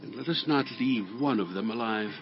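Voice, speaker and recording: An actor playing a stern warrior king in a dramatized audio Bible, declaiming imperiously with drawn-out phrases. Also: on the low side, creaky, muffled recording, soft background music. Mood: commanding. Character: stern, commanding warrior king of ancient Israel.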